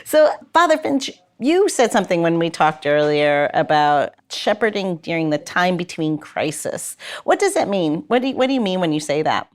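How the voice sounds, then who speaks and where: A woman's voice: fairly low pitch, very animated, moderate pace, few pauses. A woman hosting a podcast, interviewing her guests in a casual, conversational style.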